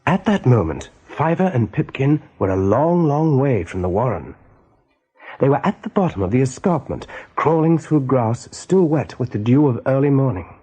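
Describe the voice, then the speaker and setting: Mellow, husky storyteller. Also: male voice, medium pitch, very animated, normal speed, poor audio. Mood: hushed, measured. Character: measured, serious male storyteller. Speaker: narrator. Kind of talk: scripted radio drama narration.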